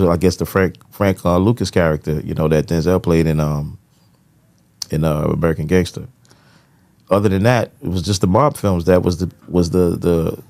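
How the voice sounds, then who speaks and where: A man's voice: deep voice, a little mellow. A middle-aged man co-hosting a podcast, speaking in a laid-back, opinionated way with lots of fillers. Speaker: podcast co-host. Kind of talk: casual podcast chat.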